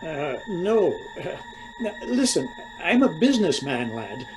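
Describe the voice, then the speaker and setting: A man's voice: fairly high pitch, sing-song, unhurried, music in the background, low-quality recording. An actor playing a genial, slightly dim Irish businessman who talks in a hesitant, folksy way. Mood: befuddled. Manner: hesitant, folksy, easily amused.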